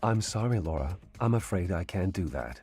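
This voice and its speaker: A man's voice: deep voice, expressive, moderate pace, a little mellow, faint music behind. A man co-hosting a showcase in scripted comic banter. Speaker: showcase co-host.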